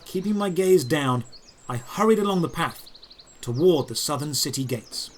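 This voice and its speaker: A man narrating a scripted first-person fantasy adventure in a dramatic, deliberate, descriptive way. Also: fairly high pitch, lively intonation, a little hiss or hum.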